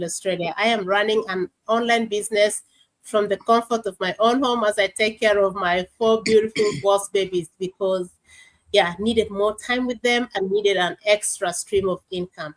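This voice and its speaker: A woman's voice: medium pitch, rough recording. A woman with a South Sudanese accent, introducing guests as host of a livestream show.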